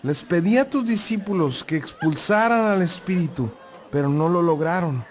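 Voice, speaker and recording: A man's voice: high-pitched, animated, normal speed. An actor playing an anguished father who pleads for his possessed son in a dramatized audio Bible. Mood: distressed.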